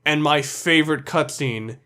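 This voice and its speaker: A man's voice: fairly high pitch, measured pace, sharp tone. A man narrating a countdown video as its reviewer, delivering opinionated scripted commentary in a blunt, sweary, emphatic way.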